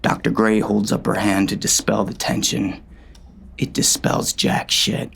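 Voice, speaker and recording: Gravelly, low-voiced brooding western gunslinger. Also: male voice, little pitch movement, a little slow, a bit bright, exaggerated voice. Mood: bitter, tense. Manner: terse, brooding, folksy western talk. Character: gruff, bitter gunslinger narrating his own story, a man. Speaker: audiobook narrator and lead actor. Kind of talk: first-person dramatic audiobook narration, western.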